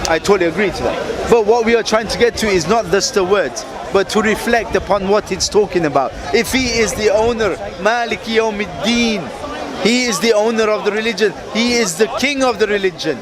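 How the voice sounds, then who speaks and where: A man in a public debate, explaining earnestly at length with halting restarts. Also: high voice. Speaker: street debater. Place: outdoor public speaking spot. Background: crowd of onlookers.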